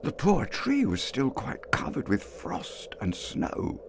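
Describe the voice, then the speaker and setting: A man giving an expressive dramatic reading of a classic tale, lingering over words and dropping to hushed asides. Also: mid-range voice, slow pace, creaky, noisy background. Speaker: storyteller. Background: soft music underscore.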